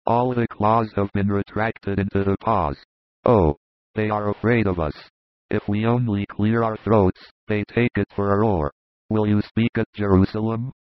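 A man voicing a jovial, confident Roman centurion in an audiobook, in a low, confiding, easygoing voice. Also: steady tone, moderate pace, dry recording, lightly acted. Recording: dull lo-fi dry recording.

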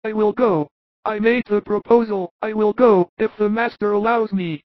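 A man voicing an eager, good-humored male apostle who talks in a lively, reassuring way. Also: sits high, moderate pace, dry recording, lo-fi sound, voice pushed up, lightly acted. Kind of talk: audiobook reading.

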